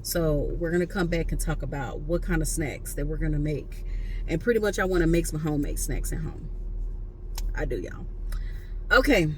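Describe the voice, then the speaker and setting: A woman's voice: low-pitched, moderate pace, slight background noise. A woman in her late thirties, chatting casually and playfully to her viewers, slangy and loose. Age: late 30s. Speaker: vlogger. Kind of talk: casual talk to camera.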